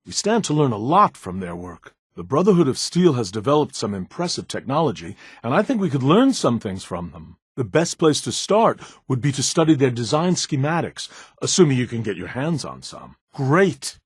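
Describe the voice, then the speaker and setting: Earnest scientist man, lively intonation. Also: medium pitch, normal speed, hardly any pauses, husky, dry recording, clean studio sound. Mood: eager, curious. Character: earnest, bookish male scientist, eager and slightly nervous. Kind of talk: video game dialogue.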